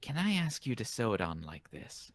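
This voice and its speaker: A man's voice: mid-range voice, huge pitch swings, normal speed, slight background noise. A man casually reading manga lines aloud and reacting as a co-reader in an amateur dub.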